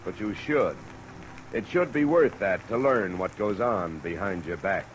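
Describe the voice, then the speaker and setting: A man's voice: fairly low pitch, unhurried, lightly acted, poor audio. An actor playing a world-weary male insurance investigator in old-time radio drama, with a clipped, wry delivery. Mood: weary, dry. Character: world-weary, hard-boiled male insurance investigator narrating his cases. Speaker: detective lead and narrator. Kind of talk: 1940s-50s radio detective acting and narration. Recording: old radio recording under loud rain noise.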